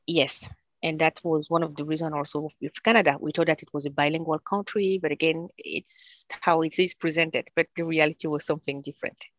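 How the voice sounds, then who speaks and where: A woman with a Cameroonian French accent speaks in a fairly low voice, recorded close and dry with no room sound. She tells her story as an interview guest, lively and animated when she quotes other people. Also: a little fast, no echo, poor audio. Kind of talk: interview conversation.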